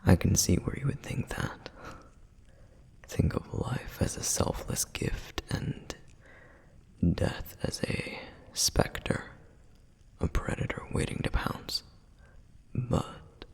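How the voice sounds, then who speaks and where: A man voicing a protective, reverent flame demon in an ASMR roleplay, speaking in a breathy, husky whisper with measured, gentle explanations and frequent pauses. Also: low voice, exaggerated voice. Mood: gentle, explaining. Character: male flame demon, protective, reverent, softly flirtatious lore guide. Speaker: audio roleplay performer. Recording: long reverberant hall echo.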